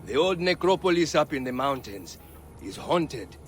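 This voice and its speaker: An actor playing an ominous local storyteller in a video game, telling ghost tales in a dramatic way. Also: male voice, on the high side, big pitch swings, normal speed. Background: faint music.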